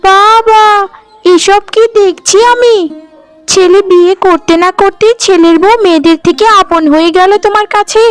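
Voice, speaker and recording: A woman's voice: very high voice, steady tone, measured pace, faint music behind. A woman voicing a spiteful, bossy young sister-in-law in a cartoon, scolding and taunting haughtily. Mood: resentful. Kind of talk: Bengali cartoon dialogue acting.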